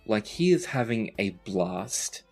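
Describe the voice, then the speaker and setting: Male game master, low voice, improvised conversational narration over music. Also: lively intonation, slow pace, darkish tone.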